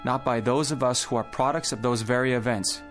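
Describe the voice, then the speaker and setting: An actor playing a logical, skeptical gentleman who argues in an exacting way, in a radio play. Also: medium pitch, fairly even intonation, fairly quick, close to an everyday voice. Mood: skeptical, reasoning. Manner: reasoning, exacting. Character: skeptical, logical male gentleman arguing precisely. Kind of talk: radio drama acting. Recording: music under the dialogue. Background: music.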